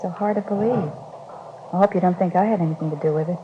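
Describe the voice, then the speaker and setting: Woman acting a naive young girlfriend, lowish voice, earnest, chatty, 1950s radio drama, muffled noisy recording. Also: fast, lightly acted. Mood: worried, disbelieving.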